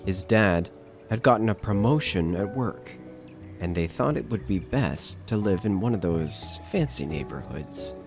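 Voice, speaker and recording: A man's voice: lowish voice, animated, unhurried, gravelly. A man narrating a scripted horror story as the narrator of an audio drama. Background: prominent music.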